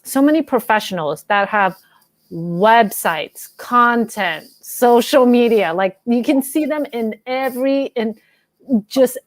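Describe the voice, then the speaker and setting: A woman's voice: on the high side, expressive, slow pace, no echo. A woman interviewing a guest in a casual, conversational way as the host.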